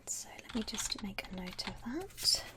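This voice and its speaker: A woman softly whispering in an ASMR roleplay as a polite, reassuring shop assistant helping a customer. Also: mid-range voice, big pitch swings, normal speed. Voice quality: soft, breathy whisper. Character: courteous, obliging female coffin saleswoman.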